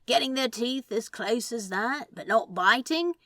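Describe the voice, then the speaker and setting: A woman acts out a laid-back male demon from a storybook. He reasons aloud slowly and casually, with a sceptical streak. The intonation swings widely, and the sound is dry and close. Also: fairly high pitch, lively intonation, bright, forceful tone, no echo, pitched above the performer's own voice. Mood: confident.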